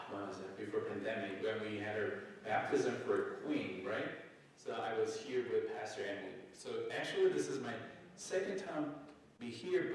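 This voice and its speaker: A man with a Korean accent gives a friendly, conversational sermon at a mid-range pitch. He leads the congregation in call-and-response and speaks to them casually. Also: a little hiss or hum, rough recording. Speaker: site pastor. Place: church sanctuary.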